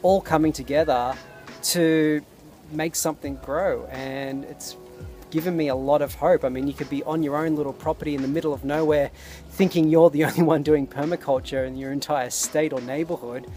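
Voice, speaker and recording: A man's voice: on the high side, steady tone, moderate pace. A man talking casually and earnestly to camera outdoors, reflecting with enthusiasm. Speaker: vlogger and permaculture intern. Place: outdoors at a desert garden site. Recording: loud music behind the voice.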